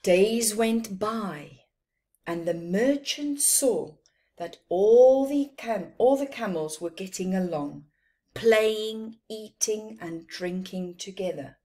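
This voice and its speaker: Slow, animated South African woman storyteller. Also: mid-range voice. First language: Afrikaans. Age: middle-aged. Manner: slow, deliberate, emphatic delivery with teacherly asides. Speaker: children's storyteller. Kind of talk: expressive read-aloud storytelling to children. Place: small plain room at home, indoors.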